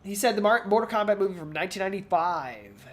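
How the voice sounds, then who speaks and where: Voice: mid-range voice, expressive, quick pace. A podcast co-host chatting casually about pop culture, speaking in a hesitant, rambling way and often repeating words.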